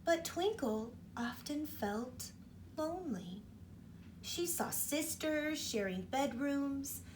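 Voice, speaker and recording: A woman's voice: high-pitched, takes it slowly, far from the mic, slight background noise. A woman teacher reading a storybook aloud to children, stopping often to ask them questions. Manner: expressive, asks the children questions. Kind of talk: storybook read-aloud for kids.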